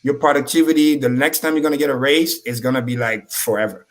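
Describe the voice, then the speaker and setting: Guy who speaks french first, preaching self-improvement on a stream, swears a lot, lots of energy, mid pitch. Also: quick pace, low-quality recording. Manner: high-energy, profane, preachy. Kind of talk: casual motivational talk.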